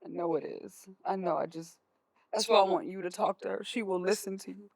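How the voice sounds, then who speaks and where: A woman playing a worried, guilt-ridden mother in a stage play, pleading and halting. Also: mid-range voice, fairly even intonation, gravelly, rough recording. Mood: guilty, anxious.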